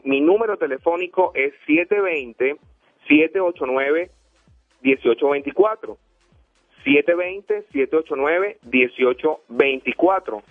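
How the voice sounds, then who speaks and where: Radio host, man from Venezuela, fairly high voice, preachy and emphatic, tinny phone-line sound. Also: no echo. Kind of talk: motivational radio talk.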